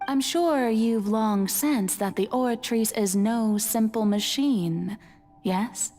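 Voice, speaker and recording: Casting: playful, serene video game goddess; higher register, very measured theatrical delivery. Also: female voice, soft background music. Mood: playful, knowing. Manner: measured, theatrical, gently teasing. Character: serene, playful goddess hidden in a machine for five centuries.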